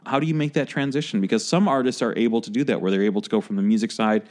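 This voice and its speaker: A man's voice: medium pitch, rapid-fire, a little mellow. Casual man hosting a podcast. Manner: casual, swears freely, lots of "like". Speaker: podcast host. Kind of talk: casual interview conversation.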